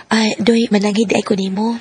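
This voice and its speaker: A woman's voice: medium pitch, level tone, moderate pace, darkish tone, faint music behind, close to an everyday voice. An actress playing a sincere, plain-spoken young woman in a Bisaya radio drama, with a Cebuano accent. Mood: gentle.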